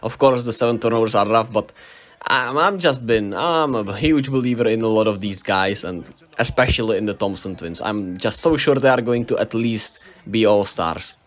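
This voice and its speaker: A man's voice: on the low side, moderate pace. A young man from the Czech Republic with a Czech accent, giving a casual, rambling basketball recap, enthusiastic and often correcting himself on names. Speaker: basketball vlogger. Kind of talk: casual unscripted sports recap.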